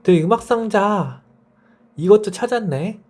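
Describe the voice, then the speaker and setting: A man's voice: high voice, lively intonation, a little slow, a little mellow, pitched above the performer's own voice. A man voicing a sweet, delighted nine-year-old girl in a children's bedtime story. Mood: amazed.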